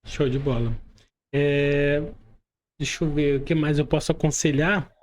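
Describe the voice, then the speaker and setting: A man's voice: fairly high pitch, fairly even intonation, measured pace. A man with a Brazilian Portuguese accent hosting a conversational interview, explaining points and often checking for agreement with short tag questions. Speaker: podcast host.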